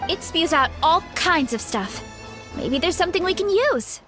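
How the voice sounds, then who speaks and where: A woman's voice: very high-pitched, lively intonation, moderate pace, over music. A woman voicing an earnest, sometimes hesitant young woman in a video game.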